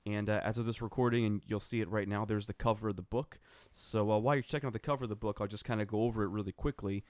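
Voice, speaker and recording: A man's voice: on the low side, no room sound. A man co-hosting a casual podcast chat, eager and full of fillers. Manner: enthusiastic, filler-heavy. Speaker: podcast co-host.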